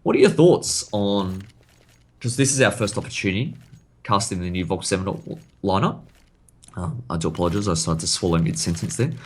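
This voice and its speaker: A man casting an esports match live, his voice croaky, calling the action and breaking it down in detail. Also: sits low, expressive. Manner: rapid, analytical play-by-play. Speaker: esports caster.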